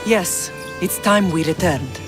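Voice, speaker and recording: A woman's voice: lowish voice, takes it slowly. An actress playing a proud, steely middle-aged Spartan mother in a video game, firm and composed. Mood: resolved. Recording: game cutscene with music behind. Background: music.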